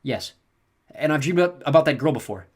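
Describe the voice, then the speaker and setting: A man playing a thoughtful, wary teenage boy, voice nudged slightly higher. He speaks earnestly and carefully in a storybook voice. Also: animated, normal speed, breathy edge. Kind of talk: audiobook character reading.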